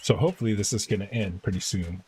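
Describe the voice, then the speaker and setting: A man live-streaming a video game, chatting casually and reading quest text aloud in an informal, halting way. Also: lowish voice, darkish tone, slight background noise.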